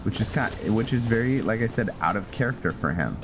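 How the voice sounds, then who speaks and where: A man giving casual roleplay game commentary, halting as he thinks aloud. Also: lowish voice, expressive. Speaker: gameplay host and roleplayer. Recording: loud music behind the voice.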